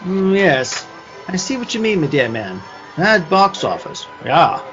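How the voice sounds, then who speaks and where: A pompous old-fashioned gentleman in a comic radio play. The voice is very high and swoops wildly, florid and theatrical, on slightly muffled audio. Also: very animated, unhurried, soft background music, heavily acted. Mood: theatrical, grave.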